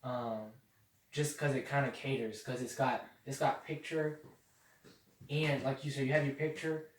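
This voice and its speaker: A young man hosting a podcast in casual chat, rambling with many fillers and getting excited about social media. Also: medium pitch, normal speed. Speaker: podcast host. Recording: echoey room, mic at a distance, faint noise.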